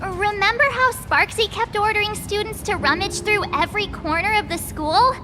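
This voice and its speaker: Very high, perky, forgetful game girl. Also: moderate pace, in a large hall, background noise. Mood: puzzled. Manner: hesitant, exclaiming, girlish. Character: cute girl student, perky, forgetful, easily flustered. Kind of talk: anime-style game voice acting.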